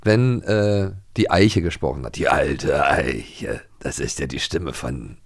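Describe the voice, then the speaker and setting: A man's voice: very deep voice, slow, no echo, clean studio sound. A man co-hosting a casual podcast, playful and often searching for his words. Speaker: podcast co-host. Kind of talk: casual chat.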